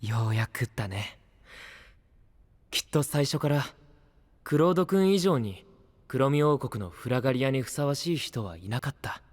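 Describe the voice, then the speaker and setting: An actor playing a gentle, courteous young companion and ceremony officiant in an anime-style voice drama. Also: male voice, medium pitch, expressive, moderate pace, pauses often, big-room sound, close to an everyday voice. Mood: gentle, supportive. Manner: soft-spoken, polite, formal when officiating.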